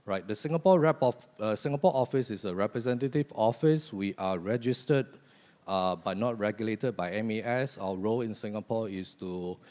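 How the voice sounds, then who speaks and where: Bank rep guy on a panel, lowish voice, lots of uhs, long hall echo. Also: normal speed, a little mellow. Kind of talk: panel self-introduction. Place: conference hall.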